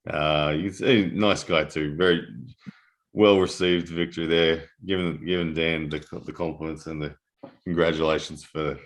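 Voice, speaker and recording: A man with a very low, deep voice calls a live arm-wrestling match, breaking down grip and technique between restarts. His voice is worn and hoarse from the early hour. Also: fairly even intonation, moderate pace. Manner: analytical, with frequent restarts. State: losing his voice, early morning. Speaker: armwrestling commentator. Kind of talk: live sports commentary.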